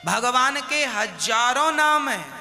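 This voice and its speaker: A man's voice: extremely high pitch, expressive, slow, in a large hall, over music. A man preaching a devotional sermon, scolding and questioning his listeners with rhetorical questions.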